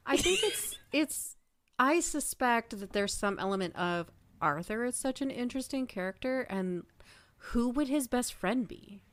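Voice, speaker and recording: A woman's voice: on the high side, lively intonation, measured pace, a little hiss or hum. A woman on a podcast panel, chatting casually as a fan.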